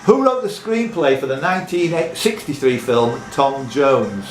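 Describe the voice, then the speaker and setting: A man's voice: mid-range voice, big pitch swings, measured pace. A man hosting a live pub quiz, reading questions and chatting teasingly with contestants. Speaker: quiz host. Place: echoey room in a pub. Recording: roomy echo, music in background.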